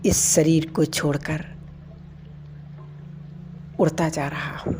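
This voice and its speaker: Slow, low-pitched woman guiding meditation. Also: a little hiss or hum. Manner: calm, unhurried, soft with long pauses. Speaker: meditation guide. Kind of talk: slow guided meditation commentary. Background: background music.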